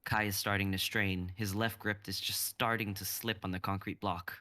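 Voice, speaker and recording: A man in his twenties narrating a dramatic script reading in a steady, descriptive way. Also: lowish voice, moderate pace. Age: 20s. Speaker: narrator and reader.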